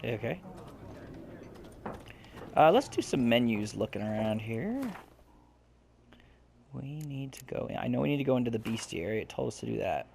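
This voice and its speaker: A man's voice: medium pitch, lively intonation, moderate pace, gravelly, pauses often, a little mellow, a little hiss or hum. A man hosting a let's play, musing aloud informally and reading game text out. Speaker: gaming video host.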